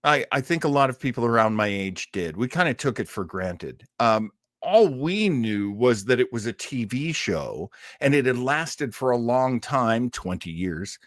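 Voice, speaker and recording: A man's voice: medium pitch, expressive, a little slow, hardly any pauses, dry recording, clean studio sound. A middle-aged man hosting an old-time radio livestream in a casual, chatty, rambling way, effusive and self-deprecating.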